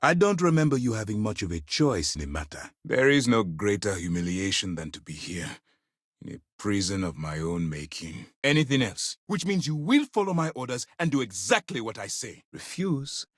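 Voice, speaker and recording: An actor playing a stern, principled space-navy commander in a video game, speaking with formal, authoritative, measured military diction. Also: male voice, lowish voice, sing-song, no room sound, clean studio sound. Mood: bitter, disappointed, then angry, forceful. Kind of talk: scripted video game character lines.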